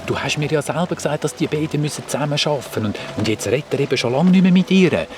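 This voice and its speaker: A man's voice: a little high, rapid-fire, noisy background, natural voice. A man with a Swiss German accent voicing a young adventurer who rambles and repeats words as he explains things. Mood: explaining. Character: Sämi, a curious young cart-riding adventurer.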